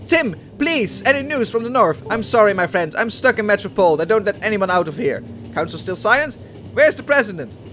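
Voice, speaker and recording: A man's voice: sits high, a bit bright, slight background noise. A man in his thirties with a Dutch accent, playing a video game on a headset mic at home, thinking aloud and reading game text. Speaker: gaming video host. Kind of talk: let's play commentary. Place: home room at a desk.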